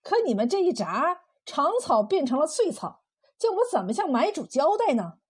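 A woman storyteller voicing a scheming, bullying village husband in a mocking, forceful manner. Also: on the high side, animated, moderate pace, husky. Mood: sly, mocking.

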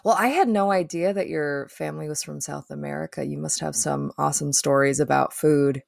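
A woman's voice: low voice, fairly quick, vocal fry, darkish tone, no room sound, studio quality. A woman from the Midwest hosting a podcast, chatty and teasing, laughing often. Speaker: podcast host. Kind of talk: casual chat.

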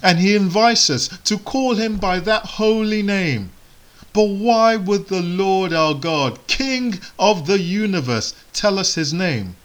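Slow, measured male preacher, Igbo native. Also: high voice, expressive. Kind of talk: sermon.

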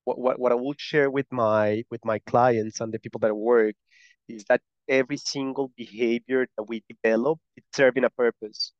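A man's voice: mid-range voice, steady tone, normal speed, no echo, highs cut off. A man with a strong Spanish accent and Spanish as his first language, explaining earnestly as an interview guest, often repeating words as he goes. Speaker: guest, breathwork and somatic practitioner.

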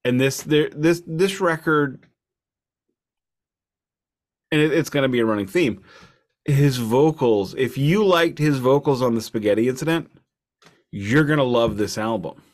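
A man co-hosting a podcast, casually discussing albums in a wry, deliberate way, repeating phrases for emphasis. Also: medium pitch. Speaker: podcast co-host reviewing albums.

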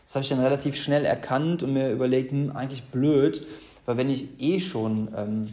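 A man's voice: medium pitch, steady tone, moderate pace, warm tone. Man in his thirties, from the Ruhr area, chatty, loose, full of fillers, echoey room. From Ruhr area, Germany. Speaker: interview guest. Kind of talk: casual personal storytelling.

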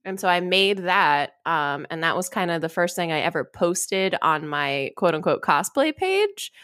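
Female podcast guest, 20s, slightly low voice, dry close recording, casual conversational delivery. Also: big pitch swings, normal speed, fairly bright tone, dry recording. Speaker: podcast guest, cosplayer and actress. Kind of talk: casual chat.

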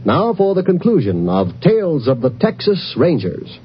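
A man's voice: a little high, huge pitch swings, normal speed. An American man announcing network programs in a promotional 1950s radio style. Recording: muffled old radio recording with faint hum.

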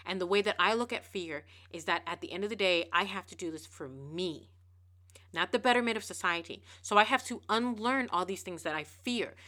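A woman hosting a podcast, giving a casual motivational monologue. She talks fast and emphatically, repeating words and restarting phrases. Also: on the low side, bright, forceful tone. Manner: emphatic, preachy. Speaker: podcast host.

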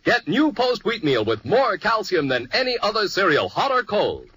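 High, bright, emphatic male ad announcer. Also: wildly swooping pitch, normal speed, faint music behind. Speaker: commercial announcer. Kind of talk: punchy 1950s radio cereal advert.

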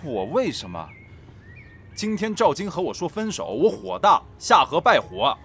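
A man's voice: high voice, huge pitch swings, measured pace, gravelly, bright, forceful tone, slightly rough, heavily acted. A young man acting a brash, hot-headed, carefree teenage boy in a Chinese audio drama, casual and exclamatory. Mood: agitated.

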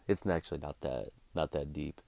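A man's voice: very deep voice, normal speed, creaky, mellow, dry recording. A man in his thirties hosting his own show, talking casually in a blunt, swearing rant. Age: 30s. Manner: blunt ranting, swears freely. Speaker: podcast host. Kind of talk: casual monologue.

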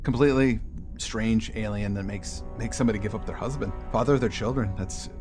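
A man's voice: on the low side, fairly quick, a little mellow. A man co-hosting a podcast in casual, conversational discussion. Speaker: podcast co-host. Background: faint background music.

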